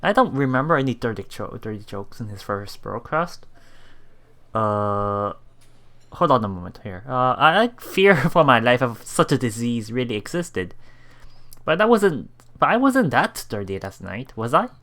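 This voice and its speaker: A woman's voice: a bass voice, sing-song, moderate pace, a bit bright. A woman giving a casual, giggly commentary while reading visual novel lines aloud, often reacting and repeating words. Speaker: let's play streamer. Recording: music behind the voice. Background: visual novel game music.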